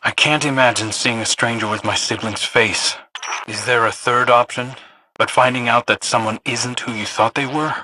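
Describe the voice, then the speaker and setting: A man's voice: mid-range voice, steady tone, normal speed, pushed and bright, rough recording. An actor playing an earnest, guarded young male fighter in a video game, speaking sincerely. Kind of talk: dramatic game voice acting.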